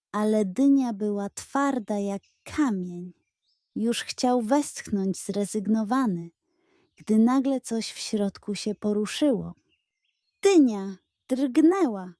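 A woman narrating a scripted bedtime story for children in a gentle, expressive way. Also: fairly high pitch, no echo, clean studio sound.

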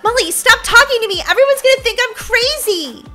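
A woman's voice: very high voice, animated, moderate pace, pushed and bright, faint music behind, voice pushed up, exaggerated voice. A woman voicing an anxious, melodramatic schoolgirl in a plaintive way. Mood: distraught. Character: anxious, dramatic schoolgirl grieving her best friend.

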